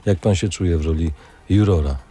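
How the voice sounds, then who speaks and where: Mellow, low-voiced male radio interviewer. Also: moderate pace, husky, soft background music. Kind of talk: conversational studio interview. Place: radio studio.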